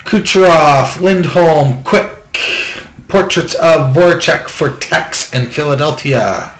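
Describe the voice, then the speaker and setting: A man at a table opens packs and reads out each card, dropping dry asides now and then. His voice sits a bit high and he takes his time. The room rings a little, with a faint hiss behind him. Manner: quick list-reading with offhand jokes and complaints. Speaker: box break host. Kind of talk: reading out trading cards while opening packs. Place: small room at home, table. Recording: echoey room, faint background noise.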